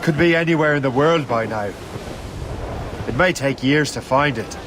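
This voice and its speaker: A man's voice: mid-range voice, moderate pace, background noise, lightly acted. A man acting an earnest, defiant young Templar turncoat in a cinematic video game. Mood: resolute. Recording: loud orchestral score and ambience behind.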